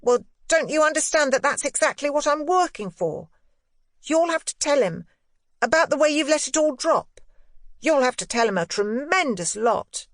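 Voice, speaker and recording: A woman voicing a precocious, sweetly evasive young boy with a deliberate, teasing manner for a literary audiobook. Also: high voice, lively intonation, dry recording, studio quality, pitched above the performer's own voice. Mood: insistent, exultant. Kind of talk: audiobook character voice, literary ghost story.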